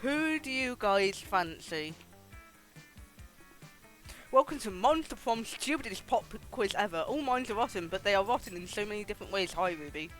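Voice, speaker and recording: Lively game streamer with music behind. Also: mid-range voice, normal speed, poor audio. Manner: chatty, informal, sweary. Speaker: live streamer hosting a game playthrough. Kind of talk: casual gaming stream chat with some reading aloud of game text.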